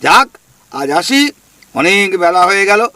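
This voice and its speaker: A man's voice: sits high, sing-song, measured pace, sharp tone, slight background noise, exaggerated voice. A man playing a teasing, gossipy ghost in a comic audio drama, talking with mocking familiarity and scolding questions. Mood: gloating gossip. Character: cheeky male ghost, teasing gossip who talks with folksy familiarity.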